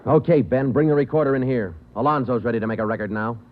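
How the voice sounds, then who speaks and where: A man's voice: mid-range voice, fast talker, lightly acted. An actor playing a clipped, matter-of-fact police sergeant who narrates his case in a 1940s radio drama. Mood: terse. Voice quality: terse, matter-of-fact delivery. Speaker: detective narrator. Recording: tinny old radio broadcast with music.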